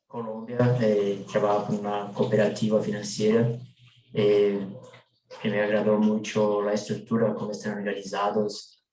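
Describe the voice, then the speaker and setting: A man with a Brazilian Portuguese accent, a native Portuguese speaker reporting back for his working group in a video meeting, hesitant with many drawn-out fillers. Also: on the low side, darkish tone, roomy sound, rough recording.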